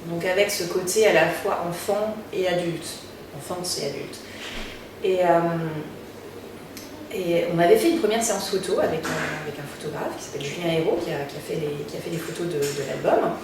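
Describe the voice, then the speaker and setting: A French woman, a singer, answering interview questions in a casual, halting way, full of fillers and restarts. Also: on the low side. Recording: very echoey room, distant mic, noticeable background noise.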